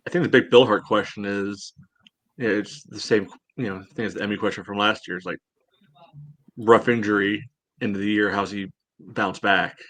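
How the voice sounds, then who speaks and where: Bright, forceful low-voiced man. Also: fairly even intonation, moderate pace. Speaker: co-host of a soccer fan podcast. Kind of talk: casual sports chat.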